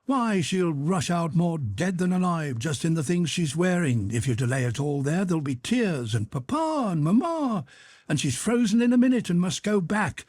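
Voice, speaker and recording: A man reading aloud voices a swaggering young rake who barks orders loudly. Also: a little high, expressive, normal speed, no room sound, clean studio sound, voice pushed up. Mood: commanding. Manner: loud, bossy, barking orders. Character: brash young male rake, swaggering and commanding.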